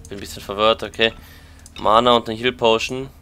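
German man with a bright, cold-tinged voice. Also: lowish voice, steady tone, fairly quick, smooth. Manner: chatty, thinking aloud while playing. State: has a lingering cold. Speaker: let's play commentator. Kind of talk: casual gameplay commentary.

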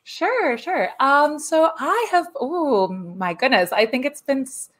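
A woman in her thirties with a fairly high voice gives casual interview answers as a guest speaker. She is indoors on a video call. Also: animated, slow pace. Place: room indoors.

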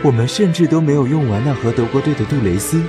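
A man playing a melodramatic, clingy, self-pitying young boyfriend in a comic audio drama, with cheeky, mock-solemn and drawn-out delivery. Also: mid-range voice, animated, music in the background, lightly acted.

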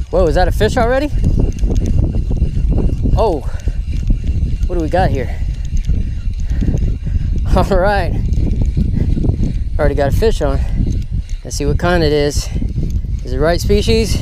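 A man hosting a fishing vlog outdoors, talking to viewers in an excited, casual way as he fishes. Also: fairly high pitch. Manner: excited, talks straight to viewers. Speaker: fishing channel host. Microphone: handheld camera mic. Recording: outdoor camera audio, clear background noise.

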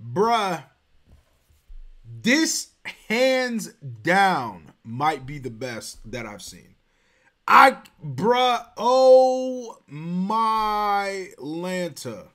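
A man in his thirties reacts from a small bedroom, heard through a desk webcam. His voice sits high and leaps up and down as he exclaims and swears in slow, stretched-out awe. He keeps hyping up every moment. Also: very animated, fairly bright tone. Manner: hyped, exclaims and swears, drawn-out praise. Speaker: reaction video host. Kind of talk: excited reaction commentary. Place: small bedroom with gaming chair and storage drawers.

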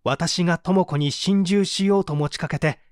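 A man's voice: high-pitched, normal speed, a little mellow, dry recording, studio quality, natural voice. A man voicing a distressed, defensive murder suspect under interrogation in a dramatic audiobook, agitated and pleading as he protests. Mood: bitter, exasperated.

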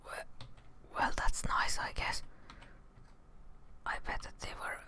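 A woman's voice: takes it slowly, bright, forceful tone. A woman whispering ASMR gameplay commentary close to the mic in murmured asides, often repeating words. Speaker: gaming streamer. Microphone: close microphone in front of her, headphones on.

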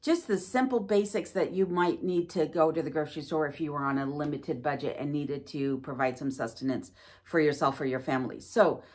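Woman, middle-aged, low-pitched, measured explanatory vlog talk, emphatic stresses. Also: darkish tone. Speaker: vlogger, host. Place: wood-panelled room at home, indoors.

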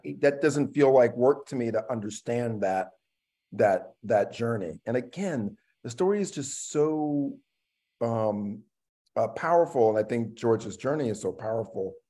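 A middle-aged man, an actor, talking casually as a panel guest on a video call in a reflective, halting way, often repeating words. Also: mid-range voice, animated, warm tone. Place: room at home, on a video call.

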